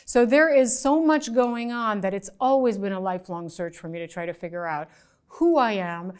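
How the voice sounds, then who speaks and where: Thoughtful middle-aged woman with a clear voice. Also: mid-range voice, moderate pace, darkish tone. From United States, raised in France. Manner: articulate, thoughtful, with frequent restarts and fillers; gestures with her hands while talking. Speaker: journalist guest. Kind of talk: interview conversation. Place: small studio set, indoors. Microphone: lapel mic.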